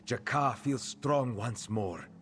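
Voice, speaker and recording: A man voicing a gentle cat-folk former captive in a video game, speaking in a measured, formal way and referring to himself in the third person. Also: mid-range voice, expressive, soft background music. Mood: fond, calm.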